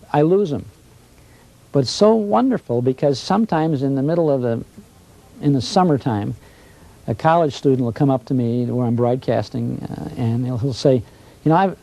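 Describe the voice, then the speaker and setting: A man's voice: medium pitch, warm tone, no room sound, poor audio. A mature American man, a broadcaster, sharing anecdotes conversationally and acting out what others said to him. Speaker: radio broadcaster being interviewed. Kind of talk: conversational reminiscence.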